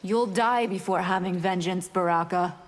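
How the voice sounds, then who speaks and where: A woman's voice: lowish voice, normal speed, heavy background noise, close to an everyday voice. An actress playing a cold, scheming warrior woman in a fighting game, haughty and sly in manner. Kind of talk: dramatic video game dialogue. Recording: long reverb.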